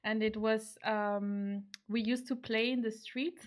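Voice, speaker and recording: A woman's voice: fairly high pitch, fairly even intonation, slow. A young woman from Mexico with a Mexican accent, a native Spanish speaker, chatting casually as an interview guest. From Guadalajara, Mexico.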